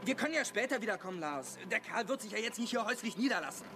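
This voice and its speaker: A man's voice: sits high, big pitch swings, racing, recorded from a distance, music in the background. An actor playing a touchy, cautious teenage boy in a German audio drama, irritated and defensive. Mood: wary, urging.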